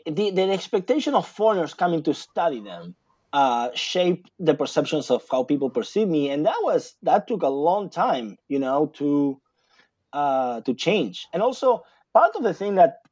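A man's voice: fairly high pitch, big pitch swings, moderate pace, darkish tone, dry recording, highs cut off. A man from Argentina, a guest in a casual interview, talking in a rambling way with many fillers and restarts. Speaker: guest author, sociologist.

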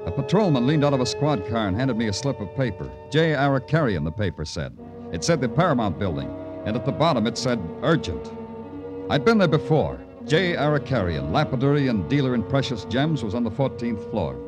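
An American actor playing a world-weary, tender-hearted city detective, narrating in a poetic, hard-boiled old radio crime drama style. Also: male voice, medium pitch, sing-song, fairly quick, close to an everyday voice. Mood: wry. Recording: old radio recording with music.

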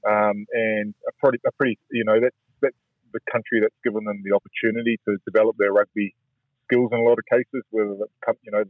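Brief: New man, radio guest over a tinny phone line, husky, quick and halting, flat delivery. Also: lowish voice, no room sound. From New Zealand. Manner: halting, restarts often, searching for words. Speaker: rugby guest interviewee. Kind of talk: radio interview.

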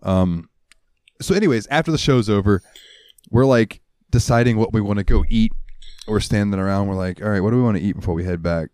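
Podcast co-host, a lower-voiced man, rambling storyteller who swears, dry close mic. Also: a little fast, a little mellow, clear, resonant tone, dry recording. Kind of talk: casual chat. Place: US South, central Florida.

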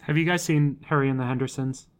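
A woman's voice: very deep voice, steady tone, fast. A woman bantering casually on a podcast with a joking manner. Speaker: podcast cast member. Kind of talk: casual chat.

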